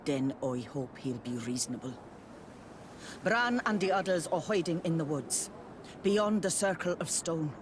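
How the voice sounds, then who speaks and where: Low, guarded village mother, noisy ambience. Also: animated, a little slow. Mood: guarded pleading. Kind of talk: video game cutscene acting.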